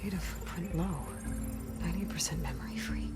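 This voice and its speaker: Video game heroine, played by a woman: young American adventurer, determined and terse, lower register, heavy score behind. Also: brisk, close to an everyday voice. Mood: quiet, concentrating. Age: young adult. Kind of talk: naturalistic video game voice acting. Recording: loud music behind. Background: loud game music.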